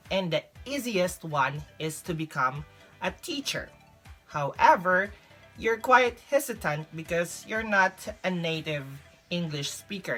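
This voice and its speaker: A woman's voice: deep voice, fairly bright tone. A young woman from the Philippines with a Filipino accent, giving casual advice as a vlog host. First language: Visayan (Filipino). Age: young adult. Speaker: vlog host, English teacher.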